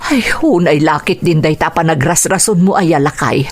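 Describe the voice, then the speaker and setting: A caring, long-suffering mother in an Ilocano radio drama. Her voice is on the low side, concerned and gently scolding, with an Ilocano accent. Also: normal speed. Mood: worried, scolding. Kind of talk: Filipino radio drama acting.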